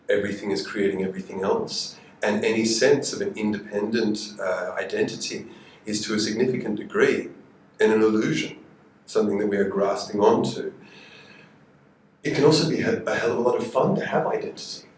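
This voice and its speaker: A man on a panel, speaking in a measured, contemplative way, recorded from a distance in an echoey room. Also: on the low side, a little hiss or hum. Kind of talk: panel discussion.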